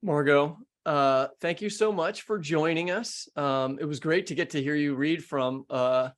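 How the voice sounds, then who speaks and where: A man hosting a podcast, chatting casually about books in a halting way as he thinks aloud. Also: on the high side. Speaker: podcast host. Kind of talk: casual literary discussion.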